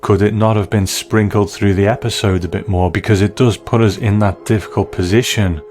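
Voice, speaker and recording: A man delivering scripted review commentary in a measured, analytical way. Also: on the low side, steady tone, darkish tone, soft background music.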